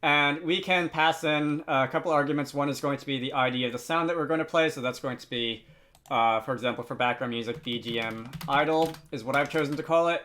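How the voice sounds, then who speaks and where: A man narrating a software tutorial in a casual, informal way, pausing often as he explains. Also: mid-range voice. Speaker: tutorial host.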